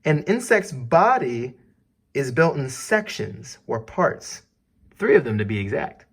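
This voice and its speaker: A man's voice: medium pitch, sing-song, measured pace, husky. A man reading an educational text aloud for children as a teacher, putting questions directly to the listener.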